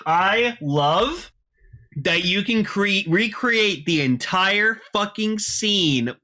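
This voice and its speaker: Male podcast co-host, sharp bright tone on the higher side, excitable fan talk, loose and sweary. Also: drawn-out words. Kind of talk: casual fan chat.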